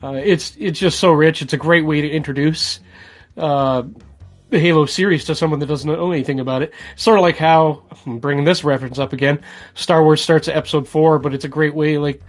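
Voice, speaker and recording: A man's voice: a little high, steady tone, brisk. A man co-hosting a podcast, talking casually and conversationally. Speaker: podcast co-host. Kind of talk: casual chat about video games.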